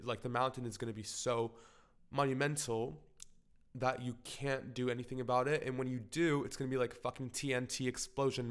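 A man's voice: medium pitch, fairly bright tone, clean tone. Candid young man with a cold. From Portugal. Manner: frank, rambling, heavy filler words and swearing. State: slightly congested with a cold. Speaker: vlogger. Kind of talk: candid personal vlog monologue.

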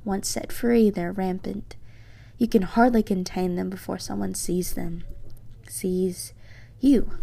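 A teenage girl hosting a personal podcast, speaking softly and reflectively with a low voice, reading from her journal. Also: gravelly. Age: teens. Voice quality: low voice for a woman. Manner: soft-spoken, reflective, slightly hesitant. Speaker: podcast host.

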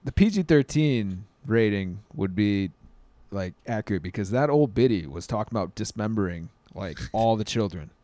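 Low-voiced, animated man chatting casually. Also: moderate pace, no room sound. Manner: occasional stumbles over words. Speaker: podcast co-host. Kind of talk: casual chat about music and movies.

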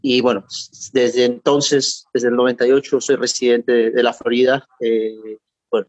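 A man's voice: medium pitch, level tone, no echo. Middle-aged Peruvian man on a dull, dry call. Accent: Peruvian Spanish. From Peru. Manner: halting, repeats words, adds tag questions. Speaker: guest. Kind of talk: interview.

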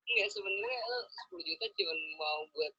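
A raspy, creaky man with a Jakarta accent, heard over a muffled phone line. His voice is high and swings widely in pitch as he chats casually. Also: wildly swooping pitch, fast talker, pushed and bright. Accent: Jakarta Indonesian. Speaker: friend receiving a prank call.

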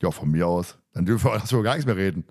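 A man's voice: low voice, expressive, fast, a little mellow, no room sound, clean studio sound. A man hosting a casual podcast, chatting and making announcements in a joking, teasing, talkative way. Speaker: podcast host and moderator.

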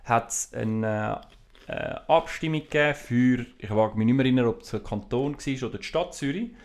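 A man's voice: mid-range voice, moderate pace. A man speaking with a Swiss German accent, whose first language is Italian, chatting casually as a podcast co-host and explaining things at length. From Switzerland. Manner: lively, explains at length with restarts. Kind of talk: casual chat.

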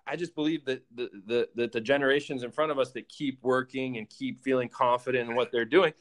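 A man in his thirties tells his story in a casual chat, rising and falling as he acts out what went through his head. His pitch sits in the ordinary middle range, and his delivery is lively and conversational. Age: 30s. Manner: animated storytelling, acts out his own thoughts in quotes. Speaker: former pro baseball player.

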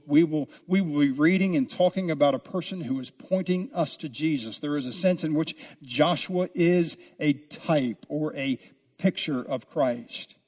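A man preaching an expository sermon as a pastor, speaking deliberately with drawn-out, emphatic phrases. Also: a little high, a little mellow. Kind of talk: expository sermon, teaching a Bible book.

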